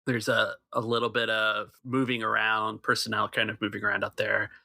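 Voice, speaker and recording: A man running a tabletop game, narrating the scene in a loose, relaxed way. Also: lowish voice, brisk, creaky, dry recording, studio quality. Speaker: game master.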